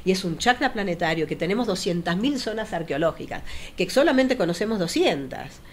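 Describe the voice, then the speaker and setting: A middle-aged woman with an Argentine accent, speaking as a studio guest in a thoughtful, measured way with frequent fillers. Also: medium pitch, lively intonation. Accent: Argentine Spanish. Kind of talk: casual studio conversation. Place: small TV studio.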